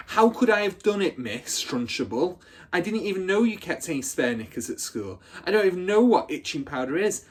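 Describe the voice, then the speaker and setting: A boastful older schoolgirl from a children's storybook, acted out by a man. The voice is husky and very animated, full of swagger. She relates her exploits like a seasoned old campaigner, with dramatic emphasis. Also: a little high, voice pushed up.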